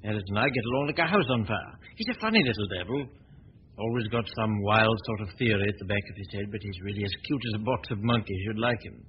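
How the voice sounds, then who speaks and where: An actor playing a suave, genial gentleman detective who talks in a relaxed, urbane way, in 1940s radio acting. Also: lowish voice, fast talker, close to an everyday voice. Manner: relaxed, urbane, easy chuckles. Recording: muffled hissy 1940 radio recording.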